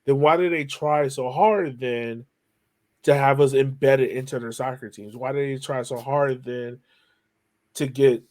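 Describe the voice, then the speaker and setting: A man's voice: medium pitch, fairly even intonation, unhurried, darkish tone. A man hosting a podcast in a casual conversational style, musing informally and building rhetorical questions. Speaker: podcast host.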